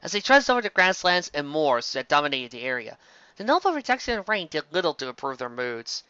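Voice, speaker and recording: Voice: mid-range voice, sing-song, a little fast, bright, forceful tone, clear, resonant tone, no room sound, muffled recording. A narrator reading a fantasy story aloud in a dramatic scripted style.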